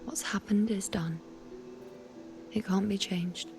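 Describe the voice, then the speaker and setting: An actress playing a calm, pensive young female traveler in a video game. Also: mid-range voice, takes it slowly, music in the background. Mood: hushed, pensive. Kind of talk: subdued video game acting.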